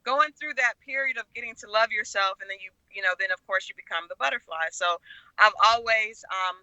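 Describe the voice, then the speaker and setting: Middle-aged American female interview guest, very bright and sharp voice, candid storytelling, dry but dull lo-fi sound. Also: medium pitch, a little fast, no echo. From Oklahoma, United States. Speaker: talk show guest, media personality and relationship expert. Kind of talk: conversational interview, personal storytelling.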